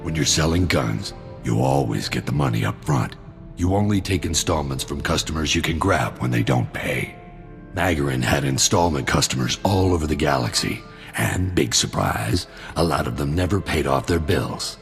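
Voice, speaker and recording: An actor playing a cynical, greedy mercenary soldier and arms dealer in a video game, with a gravelly voice and a wry, laid-back manner. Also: male voice, expressive, moderate pace, faint music behind, exaggerated voice. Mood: dry, businesslike. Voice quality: very deep, gravelly. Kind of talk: video game cutscene dialogue.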